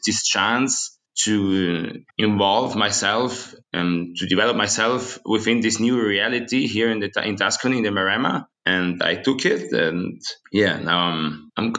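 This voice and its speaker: Low-voiced young man, halting speech. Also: sharp tone. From Switzerland and South Tyrol. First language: not English. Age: young adult. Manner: halting, searching for words, many fillers. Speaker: winery estate manager. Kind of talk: interview guest.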